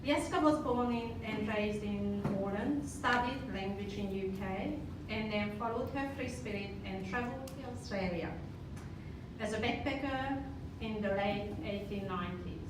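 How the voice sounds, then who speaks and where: Hungarian-accented woman host, very echoey. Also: medium pitch. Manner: halting, stumbling reading. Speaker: event host introducing a speaker. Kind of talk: read-out speaker introduction. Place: roomy live event venue. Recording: echoey, distant, noisy room recording.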